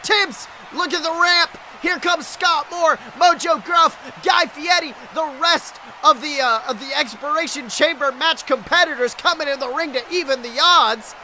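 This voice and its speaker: A male wrestling commentator calls the action in a strikingly high, sharp voice. His delivery is breathless and exclamatory, full of dramatic emphasis. There is faint noise and ambience behind him. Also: normal speed, few pauses. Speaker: wrestling commentator, podcast host. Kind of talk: excited play-by-play wrestling commentary.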